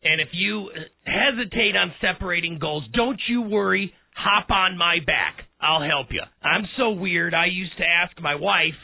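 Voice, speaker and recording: Raspy hoarse guy on talk radio, high-ish voice, dry tinny sound like a phone line. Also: measured pace, no echo. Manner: folksy, direct address to listeners. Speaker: radio talk show host. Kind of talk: casual one-on-one radio talk.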